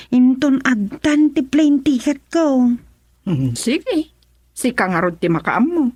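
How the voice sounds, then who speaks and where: Husky Ilocano wife in a radio drama. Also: female voice, fairly high pitch, no room sound, studio quality. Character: wife and mother intent on working abroad.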